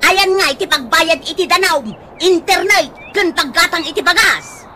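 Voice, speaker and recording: A woman playing a hot-tempered, sharp-tongued nagging wife in an Ilocano comedy radio drama. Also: very high-pitched, normal speed, a little hiss or hum. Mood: scolding.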